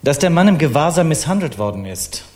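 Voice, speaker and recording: An actor playing a brazen, eloquent Parisian artist in a German radio crime play, theatrical and mocking in manner. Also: male voice, a little high, very animated, a little fast, darkish tone. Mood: defiant, accusing.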